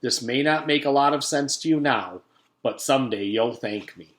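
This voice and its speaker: Man putting on a loud, blustering school principal. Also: medium pitch, normal speed, pitched above the performer's own voice, lightly acted. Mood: self-important. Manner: emphatic, declamatory.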